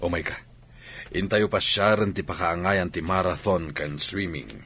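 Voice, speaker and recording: A man playing a calm, instructive athletics coach in a radio drama, with an Ilocano Filipino accent. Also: low-pitched, measured pace, husky, heavily acted. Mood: reassuring.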